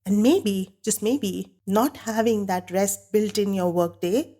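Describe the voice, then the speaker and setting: A woman hosting a podcast while she has a bad cold, sounding nasal and croaky, talking earnestly and candidly on her own. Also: mid-range voice, takes it slowly. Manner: earnest, candid, talks with a light laugh now and then. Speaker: podcast host. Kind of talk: solo podcast monologue.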